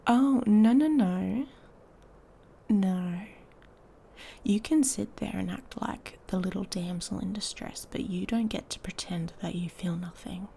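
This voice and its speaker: A woman playing an irritated, haughty female werewolf in an intimate ASMR roleplay, breathy and often whispering, taunting and seductive. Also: mid-range voice, mellow, a little hiss or hum. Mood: taunting, grudging desire.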